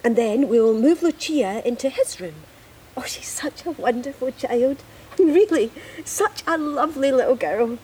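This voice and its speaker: A woman acting in an audio drama as a bossy, chattering woman who fusses over home and child in a brisk, gushing way. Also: high voice, animated, darkish tone, slight background noise, poor audio.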